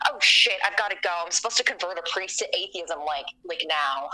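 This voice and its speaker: A woman's voice: lowish voice, lively intonation, a little fast, pushed and bright, full character voice, low-quality recording. A woman playing a flippant, breezy young best friend, casual and airily dismissive, in a comedy radio play.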